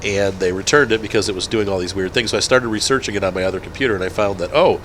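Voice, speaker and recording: A man's voice: fairly low pitch, rapid-fire, a bit bright, a little hiss or hum. A man with a head cold and a stuffed-up nose, casually chatting and commentating over a farming game, rambling and correcting himself as he goes. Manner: rambling, self-correcting, chuckling. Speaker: gaming channel host. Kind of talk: casual let's-play commentary.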